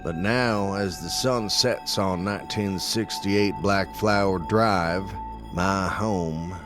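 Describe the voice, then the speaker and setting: An actor playing a dead man who calmly narrates an audio drama with slow, measured, deliberate delivery. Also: deep voice, over music. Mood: measured, somber. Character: dead man narrating, calm and all-seeing.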